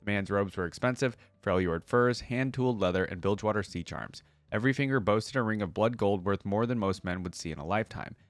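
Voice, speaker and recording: A man's voice: on the low side, fairly quick, few pauses, no room sound. A man hosting a reaction video, moving between casual commentary and reading a story aloud.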